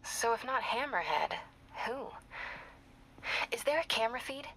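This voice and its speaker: Sharp young American reporter, background noise. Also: female voice, fairly high pitch, animated, unhurried, low-quality recording. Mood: inquisitive. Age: young adult. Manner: conversational, probing. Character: sharp young female investigative reporter, confident, inquisitive. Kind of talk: video game voice acting.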